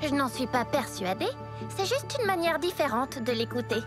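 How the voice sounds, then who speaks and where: High-voiced gentle cartoon rabbit girl, loud music. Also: expressive, normal speed. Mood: friendly, helpful. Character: gentle, helpful country rabbit girl running a farmers' market. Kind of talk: French-dubbed children's cartoon acting.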